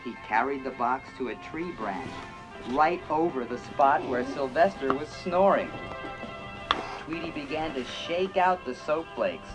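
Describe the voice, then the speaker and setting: A storybook narrator reading a children's read-along in slow, animated storytelling. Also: mid-range voice, rough recording. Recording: 1980s read-along record with funky music. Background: funky 80s music track.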